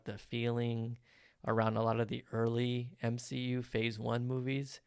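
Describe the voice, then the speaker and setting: A man's voice: lowish voice, clear, resonant tone, no echo, clean studio sound. Chatty opinionated man podcasting solo. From Mexican heritage. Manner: chatty, opinionated, frequent fillers. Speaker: podcast host. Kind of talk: casual solo podcast talk.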